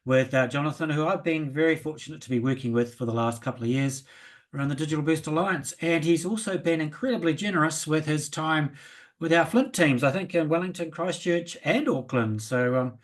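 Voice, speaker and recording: A man's voice: on the high side, a little fast. A man hosting a podcast interview, friendly and conversational. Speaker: podcast host.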